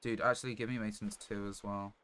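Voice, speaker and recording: A man's voice: on the low side, level tone, fast, fairly bright tone. A man giving casual live gameplay commentary as a streamer, muttering to himself as he plays. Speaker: game streamer. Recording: clear background noise, clicks.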